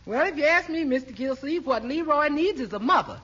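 An actress playing a kindly, frank housekeeper with a husky voice and folksy speech in a 1940s radio comedy style. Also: female voice, high voice, lively intonation, brisk, fairly bright tone. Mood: frank, reassuring. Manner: folksy, nonstandard grammar. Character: kindly, forthright housekeeper, deferential yet frank. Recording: dull old radio recording.

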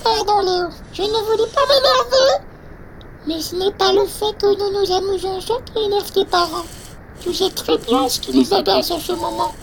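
An actor in an audio horror drama playing a sinister living toy that coaxes a child in a theatrical, wheedling sing-song with drawn-out words. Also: extremely high pitch, vocal fry, a little hiss or hum, poor audio. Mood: insinuating. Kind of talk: audio fiction acting.

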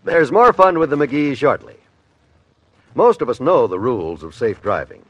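A male radio announcer reading a scripted public safety announcement in an earnest, measured manner. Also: mid-range voice, very animated, a bit bright, dry recording, soft background music. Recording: dull old radio recording.

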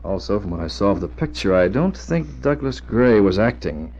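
An actor playing a resolute newspaperman crime fighter in an old radio serial, measured, earnest and well-spoken. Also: lowish voice, very animated, a little mellow. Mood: serious, determined. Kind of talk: 1940s radio acting. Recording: dull, humming old radio recording.

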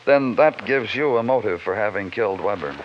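Man playing low, terse, probing police detective. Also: animated, brisk, natural voice. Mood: dogged, terse. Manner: clipped short questions. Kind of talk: 1950s radio crime drama acting. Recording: muffled, noisy old radio recording.

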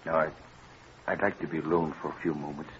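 A man's voice: extremely low pitch, little pitch movement, fast talker. An actor playing a solemn, broken-hearted pioneer father in an old-time radio drama. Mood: grieving, resigned. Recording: muffled, noisy vintage radio recording.

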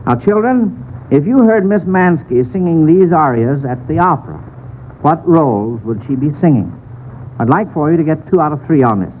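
American male radio quiz host, 1940s style, formal and measured, on a thin vintage broadcast. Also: a little high, expressive. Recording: tinny, noisy old radio broadcast.

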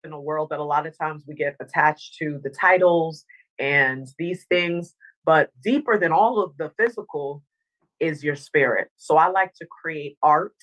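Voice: mid-range voice, a bit bright, rough recording. An interview guest born in Dominica and raised in Northern Virginia, a motivational speaker and former TV anchor, talking earnestly and reflectively. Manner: earnest, reflective, says "you know what I mean". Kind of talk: casual interview chat.

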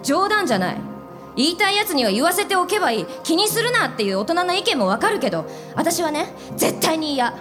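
Fierce, protective female office mentor, high and very animated, theatrical radio-play delivery, music playing behind. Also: fairly quick. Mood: vengeful, indignant. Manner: emphatic, theatrical, commanding. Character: fiery, protective senior businesswoman, mentor to a junior colleague. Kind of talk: radio drama acting before a live audience.

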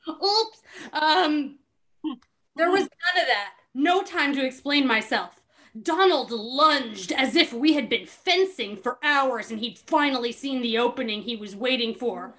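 A woman's voice: high voice, lively intonation, slow pace, fairly bright tone. A woman host giving a comedic dramatic reading with giggly, sarcastic asides. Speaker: podcast host reading a book aloud.

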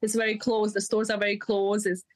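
A woman's voice: mid-range voice, flat delivery, fairly quick. A woman in her thirties, a guest on a podcast, telling personal stories in an earnest, confiding way and laughing at herself, with slips in her English grammar. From Europe. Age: 30s. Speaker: guest, mindset coach. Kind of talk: candid storytelling in a podcast interview.

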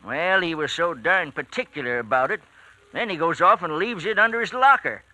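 Shrill, indignant old codger. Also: male voice, animated, brisk, sharp tone, no echo, rough recording. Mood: indignant grumbling. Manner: folksy, querulous, complaining. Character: grumbling elderly plant worker, indignant about young people. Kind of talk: 1940s radio acting. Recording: vintage 1940s radio broadcast.

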